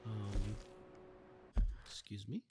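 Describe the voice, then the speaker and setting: A man's voice: on the low side, steady tone, very slow, loud music behind the voice. A man giving casual, off-the-cuff commentary over gameplay as a former game developer.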